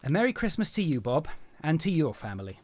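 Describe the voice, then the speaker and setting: Clear background noise sits behind a man playing a good-hearted Victorian gentleman in an audio drama. The voice is fairly high and very animated, courteous and earnest in its appeals. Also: fast. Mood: pleading, good-humoured.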